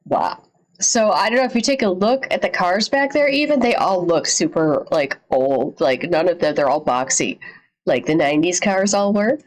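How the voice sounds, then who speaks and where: A woman's voice: fairly low pitch, lively intonation, normal speed. A woman livestream host giving casual, slangy reaction commentary, repeating phrases and swearing a little.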